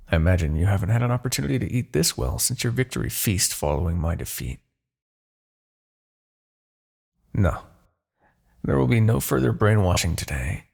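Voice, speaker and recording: Man acting a gravelly, haughty demon king. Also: fairly low pitch, a little mellow, exaggerated voice. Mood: calm, menacing. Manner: haughty, theatrical, formal diction. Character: smug demon king, possessive, courtly, thwarted suitor. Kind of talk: ASMR roleplay, dramatic character acting.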